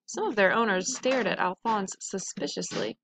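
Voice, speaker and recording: A woman's voice: mid-range voice, normal speed, creaky, a crowd in the background. A woman reading a children's story aloud in a narrating style. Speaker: storybook reader.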